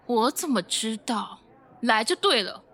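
A woman's voice: fairly high pitch, expressive, measured pace, fairly bright tone, a little hiss or hum. A woman voicing a bossy female office manager who scolds her staff sharply. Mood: scolding. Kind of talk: audio drama acting.

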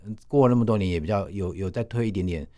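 Low-voiced Taiwanese man in his forties, conversational. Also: very fast, dark tone. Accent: Taiwanese Mandarin. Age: 40s. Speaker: interview guest, teacher. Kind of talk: casual conversational recollection.

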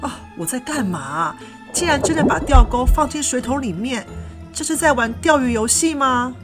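A woman voicing an eager, wide-eyed young boy in a children's storybook, with an excitable, exclaiming manner. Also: high voice, moderate pace. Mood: puzzled. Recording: music behind the voice.

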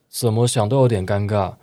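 A man's voice: on the low side, warm tone. A young man acting in a radio drama as a hesitant, reserved man in his forties who is secretly in love. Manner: hesitant, wary. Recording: roomy echo, guitar music behind.

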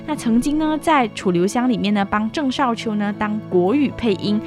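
High-voiced young woman hosting student radio. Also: lively intonation, moderate pace. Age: young adult. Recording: music bed behind the voice. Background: background music.

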